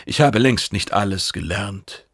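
A man reads as a cheeky oracle page who is secretly a spy in a science fiction story. He sounds calm, formal and probing, with a low, gravelly voice recorded very dry. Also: unhurried, dry recording, full character voice. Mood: earnest, yearning. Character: shape-changing spy posing as eager, cheeky oracle page.

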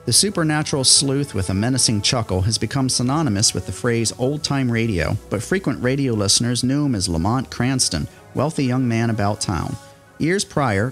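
A man hosting an old-time radio show, giving a steady, informative scripted narration. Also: mid-range voice. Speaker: podcast host and radio historian.

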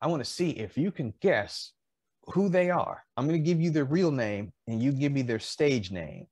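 A man in his fifties chatting casually as a podcast co-host, often pausing mid-thought. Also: medium pitch, expressive, a little mellow, breathy edge, no echo, clean studio sound. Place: indoors in a home collectibles room.

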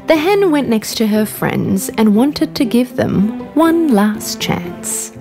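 A woman's voice: on the high side, animated, slow pace, a little mellow. A woman narrating a scripted children's bedtime story as the storyteller. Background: background music.

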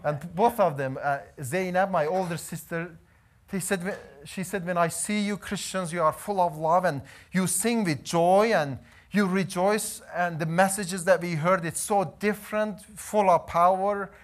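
Male missionary, 30s, lively storytelling testimony, fairly high voice. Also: a little slow. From Iran. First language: Azeri. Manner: animated storytelling, gesturing while pacing. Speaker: missionary preacher. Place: church hall, indoors.

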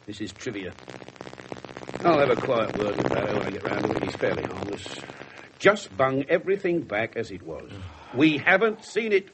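Casting: man playing world-weary, offhand British detective, cynical and dry, mid-pitched, swooping delivery, seventies radio play. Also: sing-song, normal speed, lightly acted. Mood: wry, dismissive. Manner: sardonic, offhand, muttering. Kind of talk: 1970s British radio drama acting. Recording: slightly noisy 1970s radio recording.

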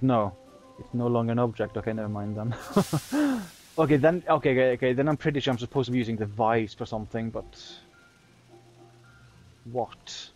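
A man's voice: medium pitch, darkish tone. A man whose native language is Norwegian, commenting on a game he is playing in a hesitant, rambling way. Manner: rambling, hesitant, laughs often. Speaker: game streamer. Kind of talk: let's play commentary.

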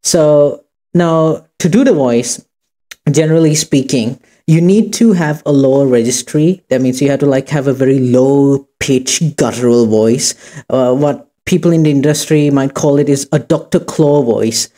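A man with a soft, dark-toned voice at a middling pitch walks through an informal tutorial. He speaks casually and feels his way through the steps. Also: moderate pace. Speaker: tutorial host. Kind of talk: informal screen-recorded tutorial.

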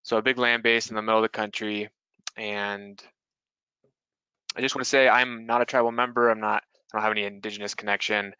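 A man presents in a webinar in an earnest, measured way, with a few fillers. His lowish voice is bright and crisp. The audio is dry and slightly dull, like a video-call mic. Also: flat delivery, no echo. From US Pacific Northwest.

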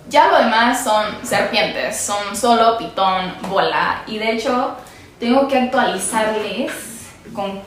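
Young Mexican woman, unhurried, giggly reptile vlogger; very echoey bare room, steady ambience behind. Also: medium pitch, a little hiss or hum. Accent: Mexican Spanish. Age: young adult. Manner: chatty, giggly, coos at her animals. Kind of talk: casual unboxing vlog. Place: small bare indoor room.

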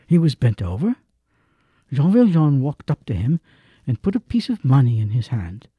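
A man narrating a drama from a script, with measured, solemn storytelling. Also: medium pitch, big pitch swings, breathy edge, dry recording, dull audio.

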